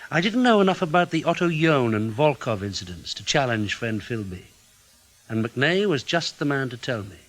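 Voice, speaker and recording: Male, guarded spy investigator, mid-pitched, measured, blunt, unyielding, radio drama. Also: big pitch swings, fairly bright tone, poor audio. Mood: reflective. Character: guarded, stubborn British intelligence investigator, Mr Powell. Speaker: lead actor and first-person narrator. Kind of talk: radio drama acting and narration.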